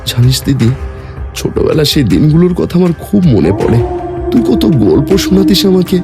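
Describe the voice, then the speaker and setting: A man acts out a devoted young son from a horror cartoon. He is insistent and pleading, his pitch jumping around excitably. Loud music sits behind him, along with rain and thunder. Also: moderate pace. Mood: wistful. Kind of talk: animated horror story dialogue.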